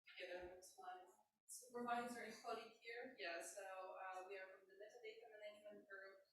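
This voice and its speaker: A woman's voice: mid-range voice, level tone, fairly quick, a bit bright. A researcher from Poland introducing herself hesitantly, with fillers. She is far off the mic in an echoey room, over a faint hiss. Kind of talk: self-introduction.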